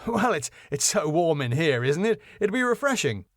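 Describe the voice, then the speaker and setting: A man's voice: a little high, big pitch swings, moderate pace, no room sound, clean studio sound, voice pushed up. A man voicing a flustered club owner in a sitcom, stammering, hesitant and eager to please. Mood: nervous. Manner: stammering, hesitant, over-apologetic. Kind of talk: sitcom script read aloud.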